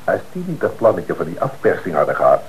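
A man's voice: sits low, wildly swooping pitch, fast talker, slight background noise, exaggerated voice, rough recording. An actor playing a blunt, sarcastic male police detective who presses hard in a Dutch radio play. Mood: satisfied.

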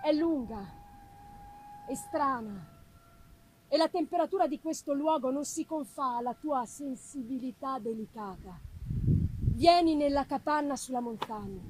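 A woman voicing Frankenstein's creature, a wretched, eloquent male monster, in a solemn, halting, declamatory gothic reading. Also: sits high, darkish tone, a little hiss or hum, natural voice, rough recording. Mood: sorrowful, imploring. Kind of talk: dramatic reading of a gothic novel.

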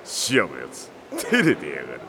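An actor playing a boisterous middle-aged father in an anime audio drama, rough, blunt and jovial in his speech. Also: extremely low pitch, huge pitch swings, normal speed, heavily acted. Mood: amused. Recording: echoey hall ambience, faint background noise.

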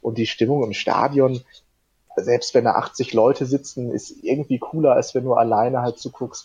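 A man's voice: mid-range voice, a little mellow, breathy edge, muffled recording. A man guesting on a casual podcast, talking eagerly and rambling with many fillers. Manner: enthusiastic, rambling, laughs into sentences. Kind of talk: casual chat.

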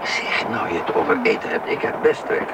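Animated, easygoing father. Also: fairly quick. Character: easygoing, kindly father on a long train journey. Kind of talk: radio play acting. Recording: noisy radio play recording. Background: rumbling train.

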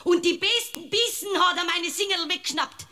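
A woman's voice: very high-pitched, moderate pace, bright, forceful tone. An actress playing an indignant, gossipy village woman with a Bavarian accent in a comic radio play, talking on self-righteously. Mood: defensive, indignant. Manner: voluble, self-righteous, complaining. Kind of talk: comic radio courtroom drama.

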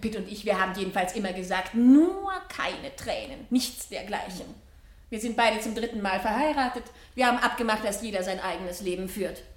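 An actress playing a frivolous, complacent society wife in a 1970 radio drama, with an airy, affected manner. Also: female voice, medium pitch, lively intonation, brisk, close to an everyday voice. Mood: breezy, complacent. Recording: roomy vintage radio drama recording.

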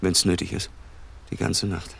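A secretive, wealthy gentleman in a radio drama. He speaks in a hushed, halting, breathy voice with an affected gentility and quiet devotion. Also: low voice, little pitch movement, full character voice. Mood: quietly stubborn.

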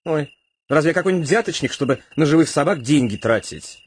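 Fussy, husky, wheedling gentleman. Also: fairly high pitch, animated, fairly quick. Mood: wheedling, defensive. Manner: stammering repeats, theatrical. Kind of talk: comic radio play acting.

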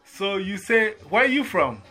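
A man's voice: high voice, sing-song, a little slow, sharp tone, soft background music. A man from Ghana with a Ghanaian accent, telling stories in casual chat and acting out both sides of the conversations.

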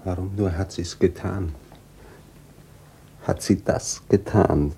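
Radio drama role: bereaved husband, dark gravelly voice, halting, bewildered and bitter, faint noise. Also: male voice, low voice, fairly even intonation, heavily acted. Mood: bewildered grief. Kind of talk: German radio play acting.

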